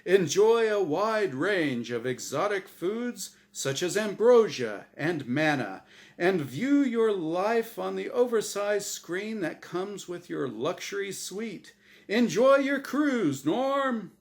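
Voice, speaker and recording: A man's voice: very animated, slow pace, full character voice. A man voicing a gleeful, smugly cheerful angel game-show host with a big announcer delivery, in a story reading. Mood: showy, excited. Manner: booming, exaggerated announcer delivery.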